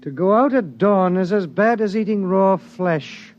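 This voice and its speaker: An actor in a 1950s radio drama playing an idle, fussy gentleman who hates the outdoors and talks in a languid, sardonic way. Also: sits high, animated, a little slow, muffled recording.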